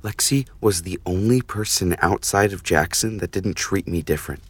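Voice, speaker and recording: A man's voice: sits low, normal speed, slightly rough, no room sound. A man playing a grave, conflicted young nobleman in an audio drama, with a restrained, solemn manner. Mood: guarded.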